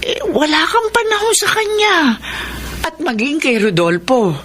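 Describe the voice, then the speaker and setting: Frank older housemaid, faint noise. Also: female voice, high-pitched, huge pitch swings, a bit bright, exaggerated voice. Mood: reproachful. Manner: reproachful, halting when rebuked. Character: frank, motherly older female household servant. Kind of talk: Tagalog radio drama acting.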